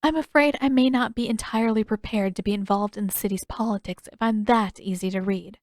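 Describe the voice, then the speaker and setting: A woman voicing a gentle, wistful young princess in a storybook reading, speaking politely in measured, formal phrases. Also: medium pitch, no echo, studio quality, close to an everyday voice.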